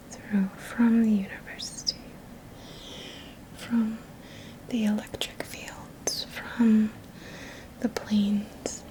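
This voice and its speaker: Slow whispering woman, ASMR healing session. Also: medium pitch, a little mellow, poor audio. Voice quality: breathy, unvoiced whisper. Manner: slow, soothing, repeats words and phrases in a trailing murmur. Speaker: Reiki teacher and ASMR host.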